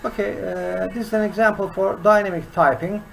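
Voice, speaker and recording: A man in his sixties with a Turkish accent, teaching a programming lesson from his desk in a slow, halting way full of "uh" fillers. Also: high-pitched, steady tone, creaky, poor audio. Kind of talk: screen-recorded coding lesson. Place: small room indoors.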